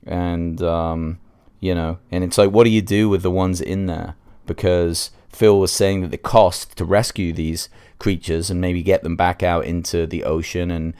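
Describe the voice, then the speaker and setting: Deep-voiced man podcasting with a head cold. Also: steady tone, unhurried, darkish tone, smooth. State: congested with a cold. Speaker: podcast host and reviewer. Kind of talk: casual solo podcast commentary.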